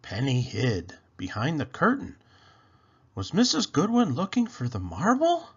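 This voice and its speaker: A man reading a children's story aloud in an expressive, animated, deliberate way. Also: on the high side, husky, dull audio. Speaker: storybook read-aloud host.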